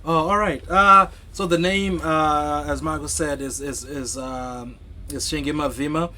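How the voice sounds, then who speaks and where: A man in his thirties from Zimbabwe, an author answering interview questions in a halting, thoughtful way with many restarts. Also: on the high side, steady tone, pushed and bright, a little hiss or hum. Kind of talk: conversational interview.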